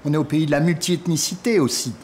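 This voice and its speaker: A man's voice: fairly high pitch, quick pace, a little mellow. A man speaking spontaneously in a documentary interview as an insect collector, explaining things point by point. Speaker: insect collector and exhibition guide. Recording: clear background noise, natural ambience.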